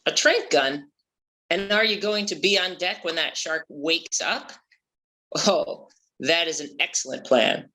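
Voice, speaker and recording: A gruff, stubborn boat captain acted out at a table read, with a bright, forceful voice in a middling register. The delivery is folksy, commanding and thick with sarcasm. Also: mid-range voice, a little slow, slight background noise. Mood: scornful. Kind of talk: amateur script table read.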